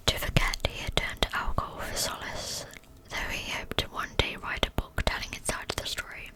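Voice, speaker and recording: A woman speaks entirely in a breathy whisper, with no voiced tone. She reads a scripted true-crime narration in an ASMR style, softly and deliberately, taking her time over each phrase. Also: poor audio.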